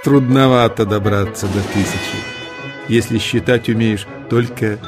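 A man narrating a scripted children's tale as an expressive storyteller. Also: fairly low pitch, moderate pace. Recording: music under the voice. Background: music.

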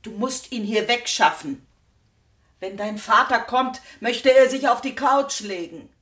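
An anxious, nagging mother in a radio play, slightly high-pitched voice. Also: a little slow, fairly bright tone, roomy sound, recorded from a distance. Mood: insistent.

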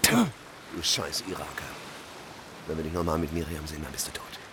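A man's voice: extremely low pitch, lively intonation, normal speed, noisy background. A man acting as a brutal, hostile thug making threats in a radio drama. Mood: menacing.

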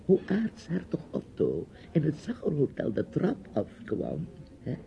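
A man's voice: on the high side, wildly swooping pitch, measured pace, creaky, full character voice. An actor playing a chatty, nosy, bossy older man in a radio drama, with a theatrical, rambling delivery. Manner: theatrical, rambling, commanding, with hesitations. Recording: dull, hissy old radio play recording.

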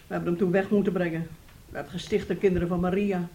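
An actress playing a troubled, soothing aunt in a Dutch radio play, telling things in a halting, emotive way. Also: female voice, fairly low pitch, flat delivery, mellow, dull audio, slight background noise, natural voice. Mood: sorrowful recollection. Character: troubled, soothing aunt and mother of a disturbed boy.

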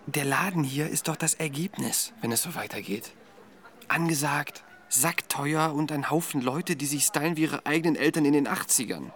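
A man's voice: a little high, moderate pace, a bit bright, natural voice. An actor playing a grumbling, joking man in a naturalistic radio drama, with a sardonic, complaining manner. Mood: indignant, sardonic. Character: grumbling, joking male partner of an expectant woman.

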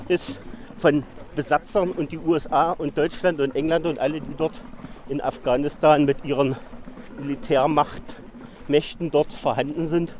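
A man giving a spontaneous political argument as an interviewed protester, halting and emphatic, repeating words as he goes. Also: on the high side.

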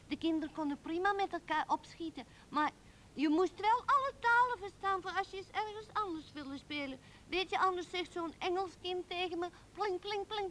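A woman's voice: extremely high pitch, fairly bright tone, slight background noise. An actress voicing a small, earnest girl, indignant and fanciful, in a childlike piping manner for a radio play.

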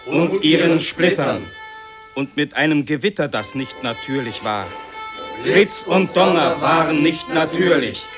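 A man's voice: a little high, expressive, unhurried. An actor playing a haunted man recalling war in a 1950s German radio drama, in emphatic, incantatory short phrases. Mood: haunted, intense. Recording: 1950s radio recording with music.

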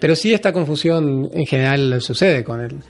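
A man's voice: a little high, lively intonation, moderate pace. A man from Argentina with an Argentine accent, a guest who explains things in a casual conversation with frequent hesitant restarts.

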